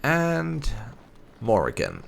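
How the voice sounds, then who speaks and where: A man's voice: a little high, huge pitch swings, extremely slow, gravelly, a little hiss or hum. A man giving casual game playthrough commentary with light roleplay, musing aloud and reacting as the host. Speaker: gaming channel host.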